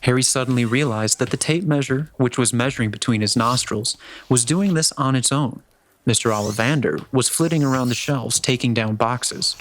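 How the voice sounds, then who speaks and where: Man narrating a story, mid-pitched. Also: moderate pace, rough recording.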